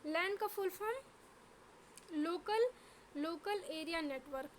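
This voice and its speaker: A woman's voice: very high voice, slow, slight background noise. A woman teacher with a sore throat, drilling exam terms in a lesson by asking each question and then answering it.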